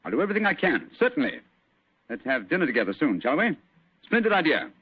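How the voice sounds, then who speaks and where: A man's voice: on the high side, very animated, quick pace, slightly rough. A man playing a shrewd, courteous detective with a clipped, authoritative British manner, in old-time radio drama style. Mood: genial. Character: brisk, courteous, shrewd male detective in command. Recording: muffled narrow-band old radio recording.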